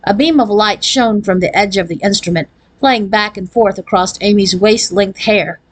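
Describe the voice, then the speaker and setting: A woman's voice: medium pitch, normal speed. A woman narrating a children's novel as a read-aloud, following a written script. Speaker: audiobook reader.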